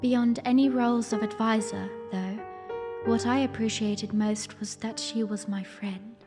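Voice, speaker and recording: A woman playing a young princess who narrates her own story in a formal, reflective audio drama style, earnest and weary with a quiet defiance. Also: a little high, steady tone, unhurried. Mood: reflective, wistful. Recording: long reverb, music underneath. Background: music.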